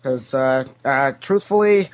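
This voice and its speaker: A man's voice: mid-range voice, expressive. Man playing a rambling, greedy Ferengi alien. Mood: shamelessly mercenary. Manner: hesitant, rambling, matter-of-fact with many pauses. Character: Ferengi alien financial investigator, greedy, cheerfully shameless, rambling. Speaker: interview guest. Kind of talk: improvised in-character interview. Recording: internet call connection.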